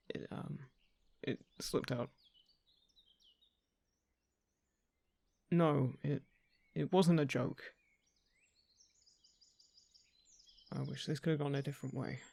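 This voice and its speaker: A man acts out a courteous male envoy of the crown in a fantasy audio roleplay. His voice sits a little high for a man and often creaks into fry, recorded close and dry. He chooses his words with hesitation and earnestly, gently persuades. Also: dry recording. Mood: awkward, apologetic. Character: polite, earnest male royal envoy seeking a lost heir.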